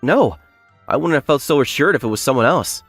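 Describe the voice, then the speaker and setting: A man playing an earnest young factory worker in a manga dub, polite but indignant when wronged, with an expressive, emphatic delivery. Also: mid-range voice, fairly quick, faint music behind. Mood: warm, grateful. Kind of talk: anime-style manga dub acting with first-person narration.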